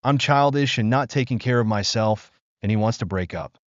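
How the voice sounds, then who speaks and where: A man narrating a first-person relationship story from an online post in a steady, even reading voice. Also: medium pitch, moderate pace, no echo. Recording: dry, slightly dull lo-fi sound.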